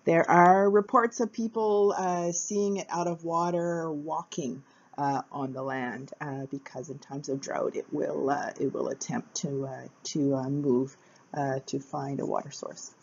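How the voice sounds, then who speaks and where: A woman narrating a short capoeira lesson video in a casual, halting way, with frequent uh fillers between phrases. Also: deep voice, fairly even intonation, gravelly, lo-fi sound. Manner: hesitant, many uh fillers, plain. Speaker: capoeira teacher.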